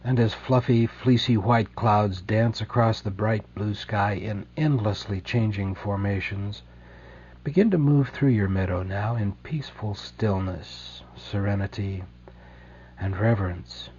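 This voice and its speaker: A man with a low-pitched, soft and mellow voice guides a meditation. He speaks gently and soothingly, letting each phrase settle before the next. The recording is somewhat muffled, with a faint layer of hum and noise. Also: husky. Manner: slow, soothing, gentle delivery with deliberate pauses.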